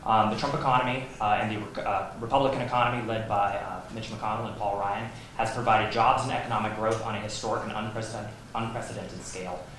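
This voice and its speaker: A man's voice: on the low side, steady tone, fast, few pauses, a bit bright. A young man arguing an economic point in a debate, earnest and full of fillers. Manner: earnest, with many fillers and restarts. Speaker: student debater. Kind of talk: debate argument. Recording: echoey hall with faint noise.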